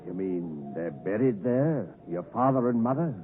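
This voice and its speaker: An actor playing a fierce, desperate escaped convict in chains, threatening and commanding, in 1950s radio drama style. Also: male voice, lowish voice, big pitch swings, unhurried. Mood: gruff questioning. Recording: tinny, noisy 1950s radio broadcast.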